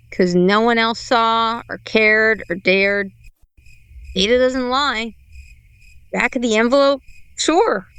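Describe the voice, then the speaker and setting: A woman playing a weary, self-questioning tech team leader thinking aloud in a halting radio play monologue. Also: on the high side, a bit bright, smooth, no room sound, heavily acted. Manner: halting, introspective monologue in short fragments.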